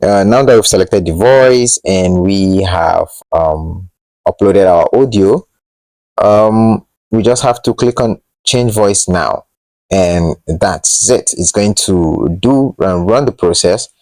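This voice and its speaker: A man hosting a casual software tutorial, explaining in a loose, unscripted and slightly hesitant way. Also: deep voice, takes it slowly, dry recording, poor audio. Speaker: host of a tech tutorial channel. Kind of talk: casual screen-share tutorial narration.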